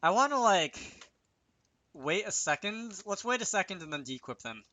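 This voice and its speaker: A man's voice: high-pitched, very animated, moderate pace, sharp tone. A man giving a casual coding tutorial, talking to himself as he works, with wry little asides. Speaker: video creator demonstrating game programming.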